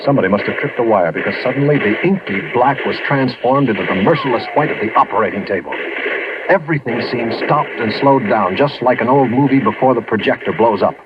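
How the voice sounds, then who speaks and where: An American man playing a weary, haunted war veteran who tells his story in a reflective, deliberate radio drama style. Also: mid-range voice, very noisy, lightly acted. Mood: grim, intense. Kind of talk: vintage radio drama acting and narration. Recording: muffled vintage radio recording, music behind.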